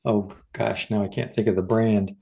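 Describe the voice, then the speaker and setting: A man's voice: lowish voice, little pitch movement, moderate pace, creaky, mellow. A man co-hosting a podcast in casual, unscripted conversation, tentative and often searching for his words. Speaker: podcast co-host.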